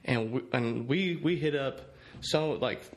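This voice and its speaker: A man's voice: medium pitch, measured pace. A young man in his twenties, a college quarterback, telling stories in a casual podcast interview. He talks easygoing and repeats words as he goes. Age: 20s. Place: podcast studio at night, indoors. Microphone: studio mic on boom arm.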